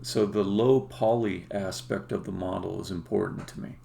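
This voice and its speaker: Low-pitched man with creaky voice, explaining haltingly. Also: steady tone, dark tone, slight background noise. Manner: halting, explanatory, pauses mid-sentence. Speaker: game developer, stream host. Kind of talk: casual live demo commentary.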